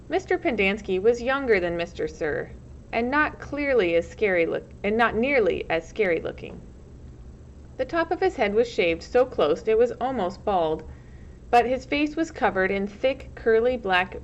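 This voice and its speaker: A woman reading a storybook aloud as narrator, in the manner of a teacher. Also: medium pitch. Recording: muffled lo-fi recording, loud background noise.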